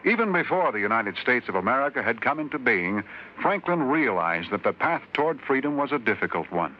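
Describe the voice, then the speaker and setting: A man's voice: medium pitch, sing-song. Solemn old-radio male announcer. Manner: measured, solemn. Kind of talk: earnest public service announcement. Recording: dull old radio broadcast, faint hiss.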